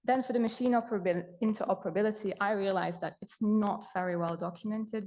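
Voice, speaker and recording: A young Belgian woman whose first language is Dutch gives a conference talk about the data repository she manages. Her voice sits on the lower side, and she speaks in a relaxed, chatty way, often stopping to correct herself. She adds small self-deprecating asides as she goes. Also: gravelly. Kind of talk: conference presentation.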